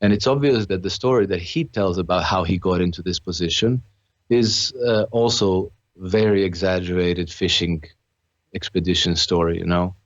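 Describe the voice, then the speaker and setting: Low-voiced man, earnest and halting. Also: steady tone, dry recording, muffled recording. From Croatia. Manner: earnest, with many restarts and fillers. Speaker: podcast guest, author and trader. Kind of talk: conversational interview.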